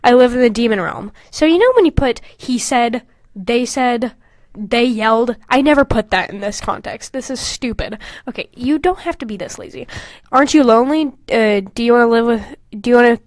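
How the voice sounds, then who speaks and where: A young woman co-hosting a casual podcast, animated and sweary, with self-mocking asides. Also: on the high side, normal speed, dry recording, clean studio sound. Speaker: podcast co-host. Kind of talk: casual podcast chat, reading old fan fiction aloud with mocking commentary.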